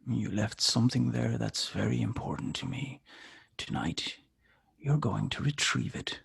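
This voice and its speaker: Menacing male kidnapper, played by an actor, very raspy and creaky, controlled orders and threats, screenplay read. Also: lowish voice, big pitch swings, measured pace, a bit bright, full character voice. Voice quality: husky, breathy, gravelly.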